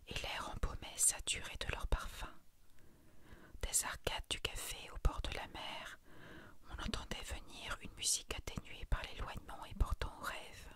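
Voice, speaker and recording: Whispering woman reading an ASMR story. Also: pushed and bright. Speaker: narrator reading a short story.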